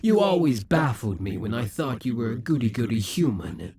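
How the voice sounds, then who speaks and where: A man's voice: medium pitch, big pitch swings, moderate pace, darkish tone, slightly rough, no echo. Brief: male actor as a scheming demon king for an audio roleplay, dry close sound, taunting and possessive. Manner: taunting, possessive, drops to whispers.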